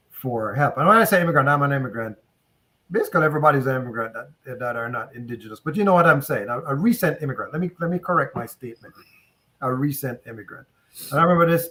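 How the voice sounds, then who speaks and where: A man with a Jamaican accent speaks on a panel, candid and anecdotal. His voice sits at an ordinary middle pitch for a man, with a loose, conversational flow. He comes through a video call. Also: sharp tone. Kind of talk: casual panel discussion.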